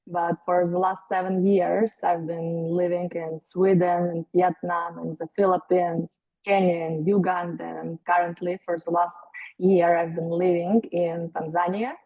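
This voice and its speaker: Low husky woman. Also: little pitch movement, a little slow, mellow, no echo, poor audio. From Latvia. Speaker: guest speaker. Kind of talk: webinar interview.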